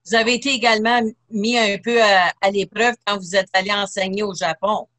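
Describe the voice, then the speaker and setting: A woman's voice: mid-range voice, steady tone, fairly quick, a bit bright. A woman hosting a church television interview, asking questions hesitantly with frequent fillers. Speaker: TV host.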